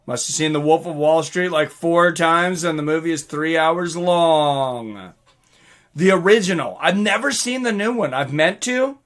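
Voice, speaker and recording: Male livestream host, fairly high and sharp-edged voice, excitable off-the-cuff chatter with viewers, loose informal language. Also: a little slow. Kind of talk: casual livestream chat, reading out viewer comments and reacting.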